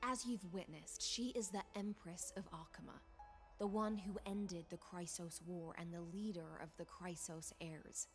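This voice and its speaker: Low-voiced courtly knight commander. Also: female voice, fairly even intonation, unhurried. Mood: courteous. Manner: ceremonious, formal. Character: courtly woman knight commander, formal and self-deprecating. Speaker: video game voice actor. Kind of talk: scripted fantasy game dialogue. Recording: music under the voice.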